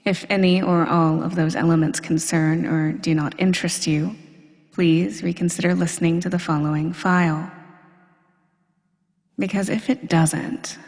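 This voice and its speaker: Low, creaky woman's voice in long reverb. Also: a little mellow. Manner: commanding, teasing, deliberate, suggestive. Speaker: hypnosis audio performer. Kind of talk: slow, intimate hypnosis roleplay monologue. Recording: long reverberant hall-like echo.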